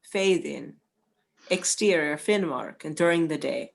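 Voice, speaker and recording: Slow-paced woman narrating a folktale. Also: sits low, vocal fry. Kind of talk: scripted folktale narration for an amateur radio play.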